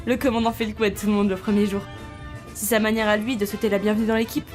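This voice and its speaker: A woman playing a young soldier, deferential and gently amused, in an amateur audio drama. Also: mid-range voice, level tone, very fast, soft background music, close to an everyday voice. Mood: reassuring.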